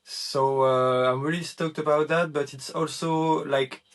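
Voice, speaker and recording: A man's voice: on the high side, fairly even intonation, unhurried. A man from France with a French accent, a guest on a casual video-call chat, laid-back and hesitant, with many fillers.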